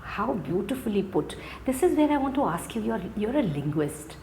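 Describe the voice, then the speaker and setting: A woman hosting an interview. She asks questions in a gentle, appreciative way. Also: medium pitch, very animated, moderate pace, slight background noise. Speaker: host.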